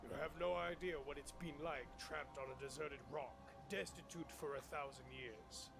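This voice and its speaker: A man voicing an arrogant villain mare, a dark pony tyrant, in a theatrical, scornful way for a parody cartoon dub. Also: fairly high pitch, moderate pace, creaky. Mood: mock sorrowful. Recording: music under the dialogue.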